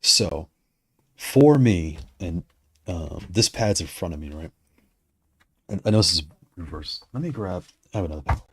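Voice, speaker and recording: A man chatting casually on a livestream, rambling and correcting himself as he goes, his voice going hoarse. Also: low-pitched, animated, normal speed, pauses often, a little hiss or hum. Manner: rambling, self-correcting, conversational. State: losing his voice. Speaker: streamer discussing controllers.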